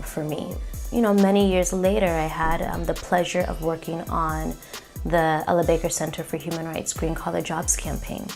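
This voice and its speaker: Earnest low woman with gravelly voice. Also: a little mellow. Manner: reflective, earnest, with frequent ums. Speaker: community organizer. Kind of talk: personal storytelling talk.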